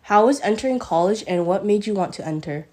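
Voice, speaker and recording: A woman's voice: medium pitch, lively intonation, fairly quick, dark tone. A woman interviewing her father, reading prepared questions plainly. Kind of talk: family interview.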